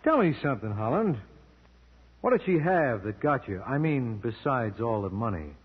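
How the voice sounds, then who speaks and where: An actor playing a cynical, wisecracking American lawyer-sleuth in an old-time radio detective drama, with a dry, sardonic delivery. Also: male voice, medium pitch, big pitch swings, moderate pace, slightly rough. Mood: accusing. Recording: dull old radio broadcast with music.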